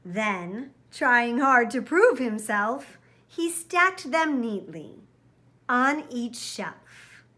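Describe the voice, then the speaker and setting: A woman's voice: a little high, lively intonation, very slow, pushed and bright. A woman reading a children's picture book aloud expressively, with dramatic, careful emphasis. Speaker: storybook reader.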